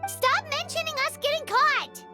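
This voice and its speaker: A woman voicing a tiny, indignant, childlike female fairy companion in a video game, with a squeaky voice. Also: lively intonation, moderate pace, bright, forceful tone, soft background music, exaggerated voice.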